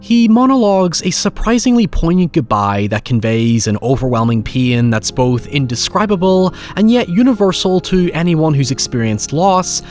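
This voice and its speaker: A man's voice: fairly high pitch, big pitch swings, moderate pace, hardly any pauses, a little mellow. Guy doing scripted video essay narration, voice goes up and down a lot, articulate, bit wry, faint music behind. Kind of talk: scripted narration, film and horror retrospective commentary.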